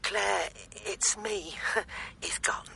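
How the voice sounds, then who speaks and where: An actress playing a muddled, gentle older woman, vague and placating, in a naturalistic radio drama. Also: mid-range voice, fairly even intonation, drawn-out words, vocal fry, in a whisper, exaggerated voice. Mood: sad, placating.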